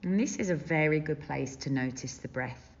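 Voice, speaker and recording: A woman leading a guided meditation as a teacher, gentle and soothing, with unhurried phrases and long pauses. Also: low voice. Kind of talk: guided body scan meditation. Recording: dull, muffled lo-fi sound.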